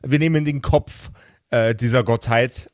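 A man chatting casually as a podcast game master, halting and often restarting words as he searches for phrasing. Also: medium pitch, fairly even intonation, no room sound, studio quality. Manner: halting, searching for words, repeats word starts. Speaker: game master of an actual-play role-playing podcast.

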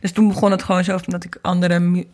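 A woman's voice: fairly low pitch, flat delivery, fast talker, darkish tone. A young woman with a Netherlands Dutch accent, chatting informally in an interview and often restarting her sentences mid-thought. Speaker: interview guest, singer and singing teacher. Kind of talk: casual conversational interview.

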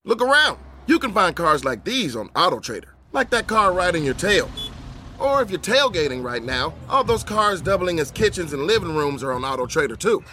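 A man's voice: high-pitched, sing-song, brisk, bright, forceful tone, soft background music. A man delivering a playful scripted radio advertisement as an announcer.

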